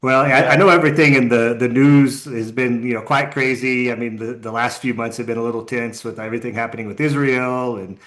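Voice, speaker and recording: A man with an American accent hosts a podcast in an easy conversational way. His voice sits at an ordinary middle pitch. He is informal and roundabout, often repeating a phrase as he works toward his question. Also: fairly even intonation, brisk, hardly any pauses, a bit bright. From United States. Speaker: podcast host. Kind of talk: casual conversational interview.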